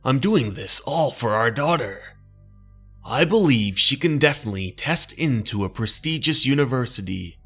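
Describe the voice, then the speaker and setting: A man's voice: medium pitch, expressive, normal speed, a bit bright, slightly rough, soft background music. Man acting a stern, burdened middle-aged father. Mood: irritated. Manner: firm, emphatic, dismissive. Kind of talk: horror manga dub.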